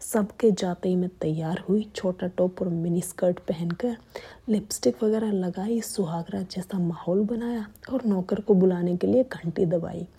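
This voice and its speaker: A young woman narrating a story in a steady hushed whisper. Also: on the low side, normal speed, husky.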